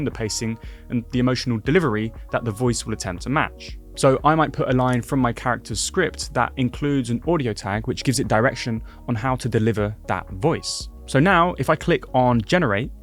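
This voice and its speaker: A male tutorial host who talks quickly, at a medium pitch. He explains each step clearly over faint background music. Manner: brisk, clear, instructive. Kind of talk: scripted tutorial narration.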